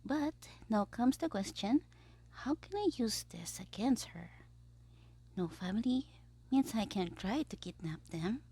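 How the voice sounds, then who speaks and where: A woman voicing a gleeful, scheming young male supervillain in an ASMR audio roleplay, theatrical and petulant, musing aloud with breathy asides. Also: mid-range voice, lively intonation, a little slow, dark tone. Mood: disappointed musing.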